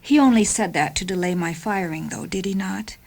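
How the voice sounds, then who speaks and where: Radio drama, actress as female thinking car: hushed, hesitant, lovelorn, mid-range voice. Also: mid-range voice, brisk, creaky. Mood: wistful, doubting. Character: soft-spoken female sentient car, lovelorn and doubting. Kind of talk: radio story character acting.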